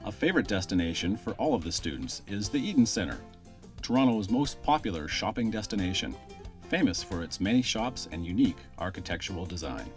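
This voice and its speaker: A man reading a scripted promotional voice-over in a smooth, even delivery. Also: on the low side, fairly quick, faint music behind. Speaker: promo narrator.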